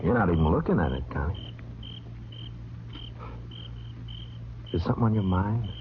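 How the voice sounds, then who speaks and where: An actor playing a wry, world-weary frontier marshal in an old radio western, with an American accent and a dry, terse delivery. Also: male voice, deep voice, animated, very fast. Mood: wry, probing. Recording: dull, noisy old radio recording.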